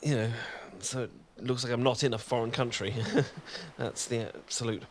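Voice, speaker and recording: A man's voice: medium pitch, normal speed, creaky, slight background noise. A British man in an ASMR roleplay, talking in a flustered, stammering, self-deprecating way with nervous laughs and sighs.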